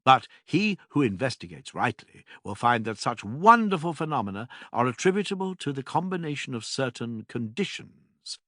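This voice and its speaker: A man reading a classical philosophy text as scripted narration, with measured, deliberate phrasing and emphatic pauses. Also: medium pitch, sing-song, pushed and bright, no echo, clean studio sound.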